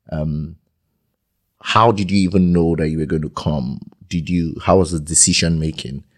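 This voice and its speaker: A man's voice: low-pitched, darkish tone. A man hosting a casual interview podcast, hesitant and often repeating words as he starts a question. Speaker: podcast host.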